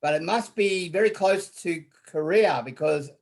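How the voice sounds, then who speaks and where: A man's voice: high voice, normal speed. A man from Australia, a guest in a casual online interview, talking in a rambling way with many fillers and repeated words.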